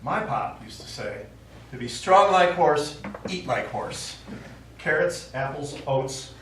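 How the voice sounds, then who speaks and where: A man's voice: fairly high pitch, expressive, takes it slowly, a bit bright. An older man playing a stubborn, forgetful elderly widowed father in a live stage play, wistful and contrary as he rambles. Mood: nostalgic, contrary. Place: small indoor theatre stage, recorded from afar. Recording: very echoey theatre, distant mic, faint noise.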